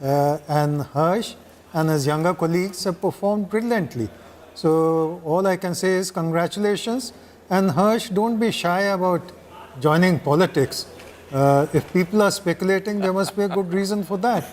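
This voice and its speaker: A man's voice: sits high, moderate pace. An older man, a former ambassador joining a live news interview, speaking in an encouraging, plain-spoken way.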